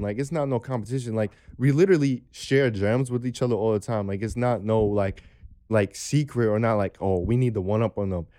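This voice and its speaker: Mellow-voiced young man, earnest. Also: mid-range voice, clean tone. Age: 20s. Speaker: podcast guest. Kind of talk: casual podcast chat.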